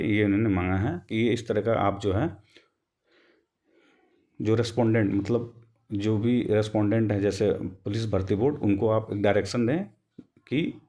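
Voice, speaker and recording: Guy explaining stuff for his channel, lowish soft dark voice, rewords himself a lot. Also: normal speed, long pauses. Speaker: YouTube host explaining a court case update. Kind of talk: informal explanatory commentary, reading from a document.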